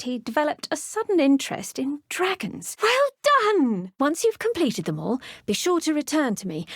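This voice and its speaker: A woman playing a cheerful, gentle young British professor of herbology in a video game, with an encouraging, genteel and effusive way of talking. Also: sits high, husky, dry recording, studio quality, exaggerated voice. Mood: gently wry, confiding. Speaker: teacher. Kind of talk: video game character dialogue.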